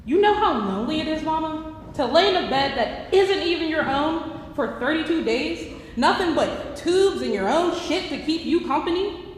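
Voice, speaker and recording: A high, sweeping, impassioned voice rings through a very echoey hall. A young woman performs an anguished, defiant son pleading in a stage drama, with faint noise around the words. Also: expressive, moderate pace. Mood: indignant, anguished. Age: young adult. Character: Wendell, anguished, defiant ailing adult son confronting his mother. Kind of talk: dramatic stage monologue reading. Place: staged reading in a large echoey room.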